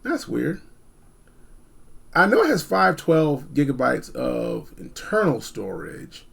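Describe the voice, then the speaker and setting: A man with a fairly high voice and a clear fry in it chats off the cuff as a product reviewer. He is animated and emphatic, often circling back to repeat a phrase for effect. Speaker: product reviewer hosting a video. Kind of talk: casual, unscripted gadget review chat.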